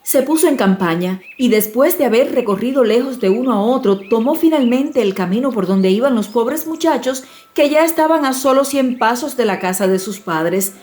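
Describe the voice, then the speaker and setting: A woman reading a classic fairy tale as an audiobook narrator, in scripted storytelling style. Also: fairly high pitch. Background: soft music.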